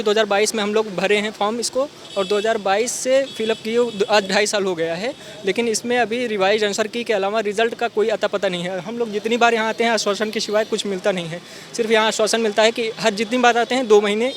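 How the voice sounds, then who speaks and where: Male, late 20s, high-pitched. Earnest protester interview, recorded outdoors with light traffic noise. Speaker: job candidate at a protest. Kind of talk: street interview. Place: outdoors by a city street.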